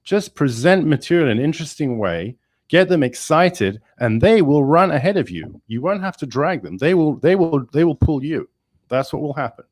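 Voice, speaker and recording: A male engineering professor speaking in a webinar discussion, energetic and emphatic, with many restarts. English is not his first language. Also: on the high side, moderate pace, no echo.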